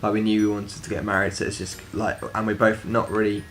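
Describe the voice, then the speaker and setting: A man's voice: fairly low pitch, fast talker, a little hiss or hum. A young British man with a British accent, chatting casually and a bit rambling in a couple's Q&A video. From Britain. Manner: relaxed, rambling, many "like" fillers. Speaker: vlogger. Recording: light background music.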